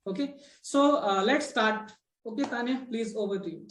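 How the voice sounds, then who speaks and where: A woman with an Indian English accent hosts a casual discussion, her voice sitting a little high. She talks chattily, with lots of fillers and restarts, and keeps checking in with her guests. The room has a clear, echoey ring. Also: moderate pace, slight background noise. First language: Hindi. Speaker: host of a spoken English discussion.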